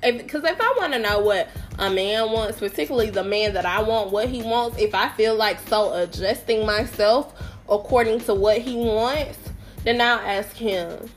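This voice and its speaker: A woman's voice: a little high, moderate pace, faint music behind. A young woman hosting her podcast alone, speaking in a nasal voice while sick with a summer cold, chatty and playful with self-deprecating, slangy asides. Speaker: podcast host. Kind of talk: casual solo podcast chat.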